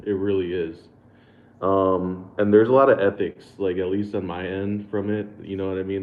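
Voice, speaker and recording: A laid-back American man chats through a livestream, laughing easily and tripping over his own repeated words. His voice sits fairly low and comes through a thin, phone-like line from an echoey room with a faint hiss behind. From United States. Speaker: livestream host. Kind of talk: casual livestream chat reading super chats.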